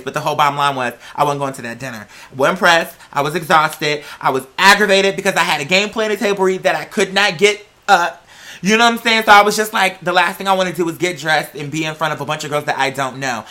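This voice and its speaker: Bright, candid American vlogger. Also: mid-range voice. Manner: candid, chatty, slangy, drawn-out emphasis. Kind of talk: casual personal storytelling to viewers.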